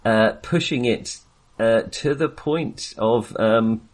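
A man's voice: medium pitch, takes it slowly. A man chatting casually and enthusiastically about films as a podcast co-host, rambling and hesitating as he goes. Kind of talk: casual, chatty film discussion.

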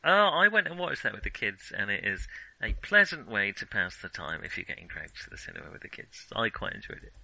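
Very bright, sharp-voiced man, closely recorded. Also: on the low side, very animated, fairly quick, gravelly, dry recording, rough recording. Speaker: podcast co-host. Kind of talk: casual chat, giving opinions on films.

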